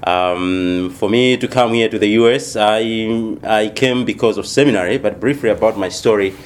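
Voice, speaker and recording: Soft-spoken deep-voiced man from Malawi. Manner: thoughtful, unhurried, many pauses and fillers. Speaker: missionary church planter, guest. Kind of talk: unscripted interview answers.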